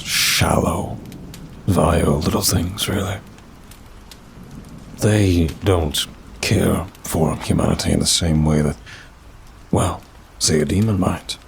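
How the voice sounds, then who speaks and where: A man playing a weary, sardonic demon in an ASMR roleplay, with a dry, haughty delivery and drawn-out sighs. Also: very deep voice, creaky, a little hiss or hum, exaggerated voice. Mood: disdainful. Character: weary, sardonic male demon, aloof bureaucrat of damnation.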